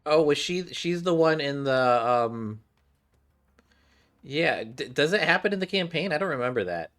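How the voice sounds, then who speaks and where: A man streaming a game with casual commentary, thinking aloud haltingly and repeating himself. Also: on the high side, lively intonation, fairly bright tone, faint music behind.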